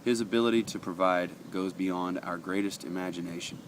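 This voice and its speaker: A man with a very low voice talks through a daily devotional in an earnest, conversational way, stopping often on fillers. He sounds a little sniffly from allergies. Faint traffic hums behind him. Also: fairly even intonation, a little fast. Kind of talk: casual devotional talk, reading passages aloud.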